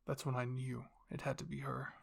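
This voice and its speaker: A man's voice: mid-range voice, completely flat delivery, brisk, creaky, slightly rough. A man voicing a cold, scheming royal father who commands and explains without remorse in an anime fan fiction reading. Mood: recounting.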